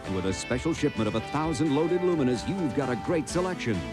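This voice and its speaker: Animated male car ad announcer over music. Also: medium pitch, fast. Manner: upbeat salesman delivery. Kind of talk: scripted radio ad read. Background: guitar music.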